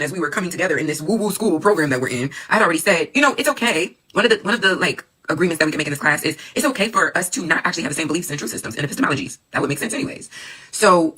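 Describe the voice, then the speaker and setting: A woman tells a story at a rapid clip in a low-set voice that is still bright and edged with husk. She is animated, dropping calm for sudden exclamations. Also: husky, low-quality recording. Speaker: doctoral student and class participant. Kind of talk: storytelling monologue.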